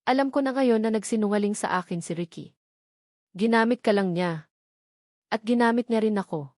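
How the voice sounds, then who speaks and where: A woman's voice: mid-range voice, moderate pace, a little mellow, no echo, studio quality, lightly acted. A woman voicing a scorned, authoritative woman who is cold, bitter and vengeful in a narrated love story.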